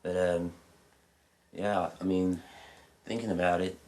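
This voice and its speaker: A man playing an uneasy, rambling character who reminisces haltingly, repeating himself and swearing, in naturalistic dialogue. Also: low voice, level tone, a little mellow. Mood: uneasy, musing. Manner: hesitant, rambling, repetitive, profane.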